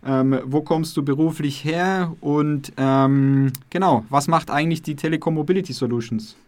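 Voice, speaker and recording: A young man hosts a podcast interview. His voice is slightly higher than most men's. He asks friendly questions that wander, with plenty of fillers and restarts along the way. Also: normal speed, a little mellow. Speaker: podcast host.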